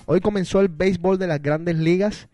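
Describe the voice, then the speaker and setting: A man's voice: high-pitched, fairly even intonation, normal speed, over music. A man hosting a casual radio talk show, teasing his co-host and joking around. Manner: teasing, playful, chatty with restarts.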